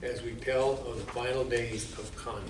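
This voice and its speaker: A man's voice: medium pitch, flat delivery, moderate pace. A man introducing a stage show informally as emcee, with joking, dry asides. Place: live stage show in an echoey room. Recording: echoey distant hall recording with noise.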